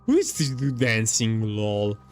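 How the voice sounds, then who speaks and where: A man's voice: on the low side, sing-song, measured pace. A man giving casual, joking let's-play commentary as he reacts to a video game. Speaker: gaming streamer. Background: faint game music.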